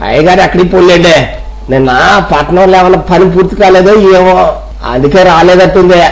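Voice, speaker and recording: A man performs an eager village servant in a storybook drama. The voice is high for a man, and the talk is colloquial, respectful and helpful. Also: fairly even intonation, brisk, natural voice, low-quality recording. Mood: reassuring. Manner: colloquial village speech, deferential. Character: rustic male servant, deferential, reassuring, eager to help.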